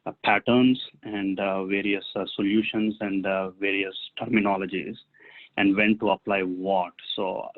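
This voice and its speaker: Flat low male voice on tinny line. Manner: halting, piecing phrases together. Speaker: webinar panelist. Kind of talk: unscripted business panel talk. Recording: narrow tinny phone-like line.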